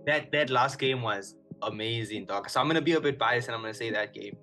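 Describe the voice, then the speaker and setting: Co-host, relaxed slangy talker, mid-pitched voice, with a faint music bed behind. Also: male voice, fairly quick. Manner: laid-back, slangy, keeps saying "dog" and "one hundred". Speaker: podcast co-host. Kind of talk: casual chat. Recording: music playing faintly underneath.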